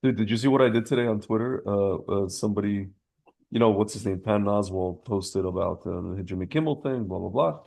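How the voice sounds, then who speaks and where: A male podcast host with a fairly low, gravel-edged voice full of fry. He talks casually and with opinions, in slangy, rambling sentences. He often doubles back on phrases or drops them midway. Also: brisk, a little mellow.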